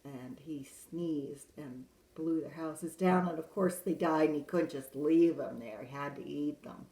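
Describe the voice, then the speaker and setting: A woman librarian gently and encouragingly talking to children after reading them a story. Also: sits low, a little slow. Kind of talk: reading a picture book aloud to children. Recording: roomy echo.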